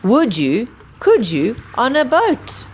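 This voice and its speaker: A woman reading a rhyming picture book aloud to young children in an expressive, sing-song, emphatic way. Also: a little high, slow pace, slight background noise.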